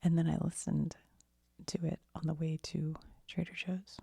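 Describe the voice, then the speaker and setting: A woman host talking in a breathy, whispery, husky ASMR style, casually rambling through an explanation in a halting, self-deprecating way. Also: deep voice, little pitch movement, warm tone, dry recording.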